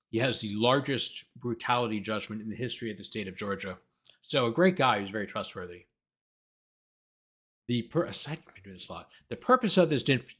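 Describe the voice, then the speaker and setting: A man's voice: medium pitch, fairly bright tone. A man who hosts a livestream as a lawyer, reading a document aloud and breaking off into sarcastic, exasperated, argumentative commentary.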